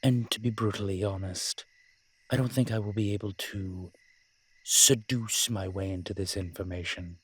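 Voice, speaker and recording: A man acting as a wry, flirtatious incubus demon in a roleplay audio drama, teasing and sardonic, with a husky, breathy voice. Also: lowish voice, fairly even intonation, normal speed. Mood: nervous, candid.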